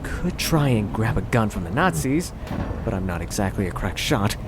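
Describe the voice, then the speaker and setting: An actor playing an earnest, boyish young American radio private in a pulp adventure drama. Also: male voice, fairly low pitch, sing-song, moderate pace. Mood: thinking aloud. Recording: loud battle and vehicle noise behind.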